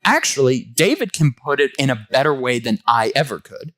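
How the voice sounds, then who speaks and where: A man's voice: medium pitch, sing-song, normal speed, fairly bright tone. A man hosting a comic book review, giving enthusiastic, semi-scripted commentary.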